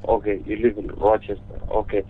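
A man handling a business call over the telephone, polite but halting and often repeating himself. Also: fairly low pitch, completely flat delivery, husky. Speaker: music lesson company owner. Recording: muffled, narrow telephone line.

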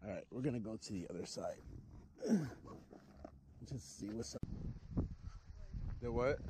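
A man's voice: lowish voice, a little slow. Laid-back man vlogging outdoors. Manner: relaxed, joking, laughs often. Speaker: fishing vlogger. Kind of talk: casual vlog chat. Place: outdoors by a lake. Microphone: head-mounted action camera. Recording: outdoor noise on camera mic.

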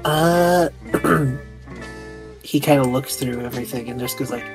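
A low-voiced woman in a tabletop roleplaying game. She talks casually, thinking aloud and describing her character's actions, with music softly behind her. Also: a little slow.

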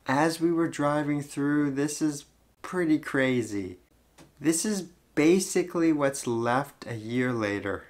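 A young man narrating his road trip vlog in a relaxed, easygoing way. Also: on the high side, slow. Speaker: travel vlogger.

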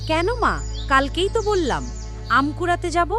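A woman voicing a kind-hearted, eager young village girl in a cartoon, with an earnest, expressive manner. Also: extremely high pitch, normal speed, soft background music, exaggerated voice. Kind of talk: cartoon dialogue acting.

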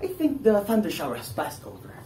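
A man's voice: high voice, huge pitch swings, fairly quick. A young man acting in a stage play as a nervous, defensive ex-convict, with a hesitant, theatrical delivery. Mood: explaining. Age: young adult. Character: nervous, defensive ex-convict pleading his innocence. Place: indoor theatre set, far camera. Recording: echoey stage, distant mic, faint noise.

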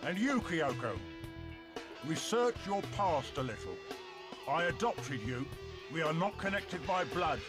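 Gloating old tycoon. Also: male voice, fairly high pitch, very animated, a little slow, long pauses, music in the background. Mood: gloating, scornful. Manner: gloating and domineering. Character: ruthless old man, a tycoon and grandfather. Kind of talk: dubbed game cutscene.

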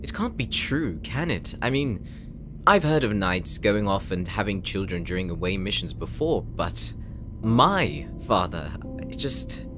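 An actor playing an earnest, insecure young knight in an audio roleplay, speaking gently and hesitantly, as if confiding in his sister. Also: fairly low pitch, big pitch swings, measured pace, soft background music, heavily acted. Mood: troubled. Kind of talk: audio roleplay drama, intimate monologue.

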